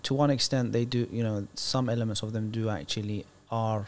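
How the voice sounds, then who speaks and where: A man's voice: fairly low pitch, steady tone, fast. A man in his forties, born in Iraq and raised in Britain, speaking as a guest in a casual interview, hesitant with many fillers and restarts.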